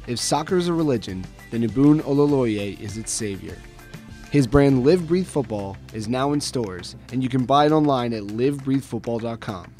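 A man's voice: medium pitch, expressive, mellow, soft background music. A man narrating a scripted sports news feature as the reporter. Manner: measured voice-over reading.

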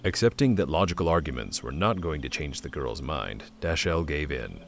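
Very deep male fantasy narrator. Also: expressive, normal speed.

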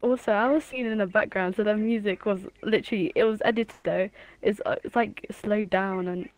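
A woman on a voice call who speaks softly and quietly, with frequent laughs. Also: medium pitch, steady tone, brisk, no echo, low-quality recording. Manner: quiet, soft-spoken, laughs often. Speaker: guest on call. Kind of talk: casual call chat.

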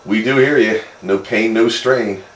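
A man's voice: fairly low pitch, unhurried. A man chatting casually as a radio operator, with a folksy, wisecracking way of talking. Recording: distant echoey pickup with very loud noise.

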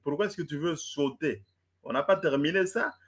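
A man's voice: a little high, moderate pace, slightly rough. A man debating in French, which is not his first language, stammering and repeating words as he lectures. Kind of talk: heated live debate.

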